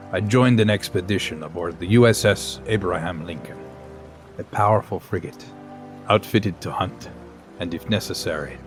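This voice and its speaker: A man's voice: low voice, lightly acted. A man voicing a grave scientist narrator. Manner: measured, dramatic pauses. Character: grave male scientist narrating a sea voyage. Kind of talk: scripted audio drama narration.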